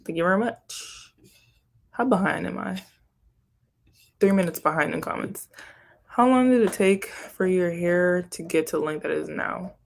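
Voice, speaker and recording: A woman's voice: fairly low pitch, normal speed, creaky, pauses often. A young woman, born in Haiti and raised in Florida, chatting casually as she answers viewer questions, rambling and second-guessing herself. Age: young adult. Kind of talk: casual chat.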